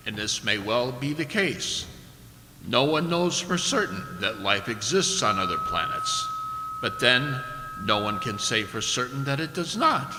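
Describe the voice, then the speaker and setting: Man playing a slow, very bright-voiced novelist narrator. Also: mid-range voice, heavily acted. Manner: measured, formal. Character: male novelist introducing his own speculative tale. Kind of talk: scripted radio drama narration. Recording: reverberant hall, faint noise and clicks.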